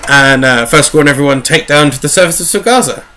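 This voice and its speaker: A man's voice: a little high, fast talker, sharp tone, a little hiss or hum. A man hosting a podcast, recapping in a casual, rambling, jokey way with wry asides. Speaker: podcast host. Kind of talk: casual chatty book recap.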